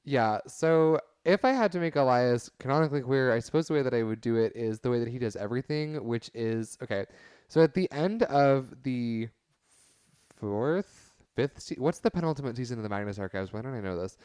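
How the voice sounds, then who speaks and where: A man's voice: mid-range voice, animated, a little fast, a little mellow, smooth, no echo. A man telling comic stories casually in a wry, mock-serious, wordy way. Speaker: podcast panelist.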